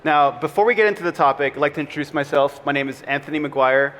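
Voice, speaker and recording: A man's voice: fairly high pitch, steady tone, fast talker, a bit bright. A man moderating a conference panel, introducing the speakers with upbeat emphasis. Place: conference hall. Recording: long hall reverb, faint ambience.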